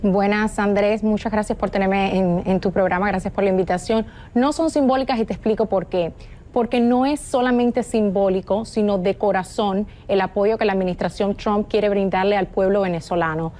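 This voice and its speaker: A woman's voice: medium pitch, steady tone, moderate pace, mellow. A woman speaks as a political guest on a news program. Her delivery is fervent and assertive. She pushes her argument forward without hesitation. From Cuba. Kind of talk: remote interview.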